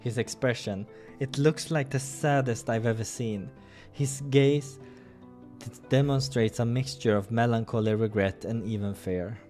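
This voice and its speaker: A man's voice: medium pitch, a little slow, darkish tone. A man hosting a playthrough, reading a visual novel aloud with casual asides and the odd stumble, over music.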